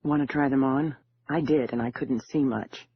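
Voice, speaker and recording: A man voicing a sly, confident woman con artist in an audiobook, with a husky tone. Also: medium pitch, steady tone, moderate pace, slight background noise, natural voice. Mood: cool, probing. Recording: dull lo-fi audio.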